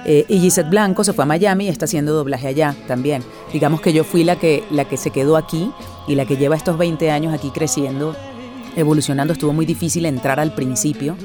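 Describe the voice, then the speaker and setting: A woman's voice: deep voice, fairly quick, over music. Reflective middle-aged Venezuelan woman. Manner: reflective, with many restarts and tag questions. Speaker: voice actress. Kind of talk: interview answers.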